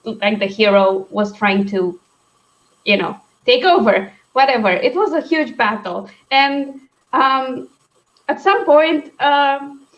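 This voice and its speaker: Quick, laughing woman. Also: sits high, highs cut off. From Romania. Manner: lively, laughs often, quick asides. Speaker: co-host. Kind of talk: casual video chat.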